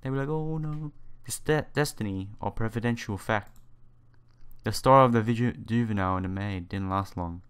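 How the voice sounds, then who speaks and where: A man's voice: on the low side, moderate pace, smooth. A man doing a game playthrough, reading the story text aloud with casual asides and stumbling over some words. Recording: game music behind the voice.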